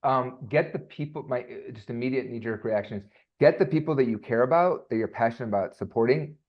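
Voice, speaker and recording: Animated man on a muffled line. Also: medium pitch, brisk. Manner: animated, rambling with many fillers. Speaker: voice coach guest. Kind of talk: casual podcast interview. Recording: dull lo-fi sound.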